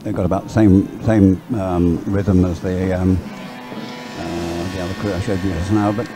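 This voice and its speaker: A man's voice: low voice, level tone, measured pace, music in the background, rough recording. A man giving chatty, unscripted live sports commentary, often hesitant and thinking aloud as he goes.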